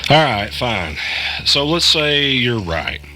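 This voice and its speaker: A man's voice: mid-range voice, very animated, slow pace, pushed and bright, full character voice. A man playing a skeptical, rattled everyman caught up in a conspiracy, asking curt, doubtful questions in an audio drama. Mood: pressing, doubtful. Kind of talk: audio drama dialogue and first-person narration.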